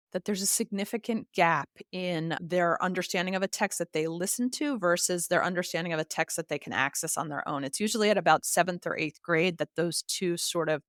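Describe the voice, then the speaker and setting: A woman's voice: fairly low pitch, fairly bright tone, no room sound, studio quality. A woman giving explanatory interview answers as an expert guest, speaking in an articulate, emphatic, teacherly manner. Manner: articulate, emphatic, teacherly, uses examples and lists. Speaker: expert guest, literacy educator and author.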